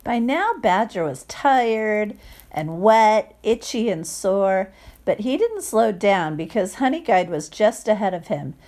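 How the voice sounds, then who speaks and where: Lady reading a kids story out loud, real slow, expressive, stops to chat w the kids. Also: medium pitch. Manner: expressive, acts out sound words, asks listeners questions. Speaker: teacher reading a picture book to children. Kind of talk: animated read-aloud storytelling with chatty asides.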